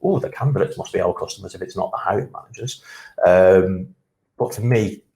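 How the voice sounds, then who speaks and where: A man's voice: sits low, a little fast, vocal fry, mellow. A man speaking as a panel guest, conversational and emphatic about his views. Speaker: panel guest, talent acquisition manager. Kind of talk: panel discussion. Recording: echoey room.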